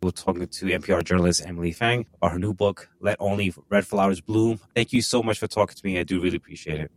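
A male interviewer speaks on a radio programme. His voice is slightly low, and the sound is dry, with no audible room. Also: fairly even intonation, fast, dry recording.

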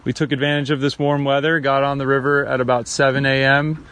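A man's voice: mid-range voice, little pitch movement, a little fast, fairly bright tone. An American man, a fishing guide, speaking casually and unscripted as he gives a radio-style outdoor report. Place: outdoors on a river boat.

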